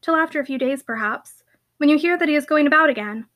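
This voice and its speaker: A woman voicing a polite, soft-spoken young gentlewoman in a storybook, subdued, hesitant and quietly sorrowful. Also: high-pitched, fast talker. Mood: subdued, despondent. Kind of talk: audiobook character voice.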